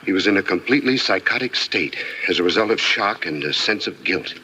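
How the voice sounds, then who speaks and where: An old radio recording with faint noise presents a serious, caring doctor. His voice is fairly low and forceful, with lively inflection. He speaks earnestly in mid-century radio drama style. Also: fairly quick, rough recording. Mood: grave, explaining. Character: grave, concerned male doctor and friend. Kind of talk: 1950s radio drama acting. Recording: old radio broadcast, background hiss.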